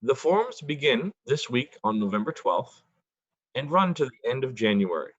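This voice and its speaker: A middle-aged man from Boston delivers prepared remarks over a video call, taking his time and pronouncing each word clearly. His voice sits mid-range, and the audio is dull and dry, with little room sound. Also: mid-range voice, very animated, no echo. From Boston, United States. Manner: deliberately slow and clear. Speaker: foundation board member presenting.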